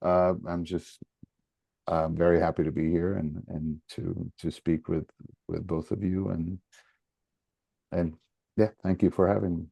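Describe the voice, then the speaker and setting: A man from Portugal with a Portuguese accent, talking casually as a guest in a hesitant, halting way. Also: sits low, level tone, gravelly, dark tone, breathy edge, no room sound. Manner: hesitant, halting, repeating words. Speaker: podcast guest, soccer coach. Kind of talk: casual conversation.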